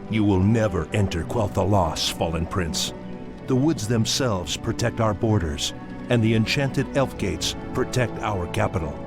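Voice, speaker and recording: An actor playing a defiant captive elf in a fantasy video game, speaking formally and resolutely. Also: male voice, on the low side, lively intonation, unhurried. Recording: loud music behind the voice.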